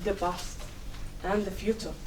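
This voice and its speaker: A woman playing a wise, nurturing Cuban elder in a dramatic play reading, speaking firmly and gently in riddles with Spanish endearments. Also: mid-range voice, steady tone, unhurried, natural voice. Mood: insistent. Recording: distant mic, faint room noise.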